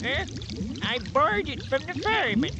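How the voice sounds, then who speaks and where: A man's voice: very high-pitched, animated, normal speed, noisy background. An actor playing a folksy, unflappable old country man with a rural American accent and a habit of saying "eh?". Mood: folksy, amused. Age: older. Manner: folksy drawl with frequent "eh?". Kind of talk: comic radio drama acting.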